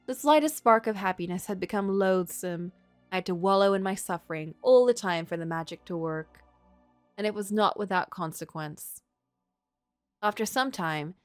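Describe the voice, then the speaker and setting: A woman's voice: lowish voice, smooth, no room sound, natural voice. A woman voicing a tormented, self-loathing middle-aged male writer in a brooding, melodramatic literary game monologue. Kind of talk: dramatic first-person reading of game story.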